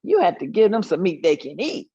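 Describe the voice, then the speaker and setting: Muffled, low-voiced elderly woman played by an actress reminiscing. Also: very animated, a little fast, mellow, slightly rough, no echo. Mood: folksy, amused. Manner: folksy storytelling with hummed asides and chuckles. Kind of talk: staged reading of oral history recollections.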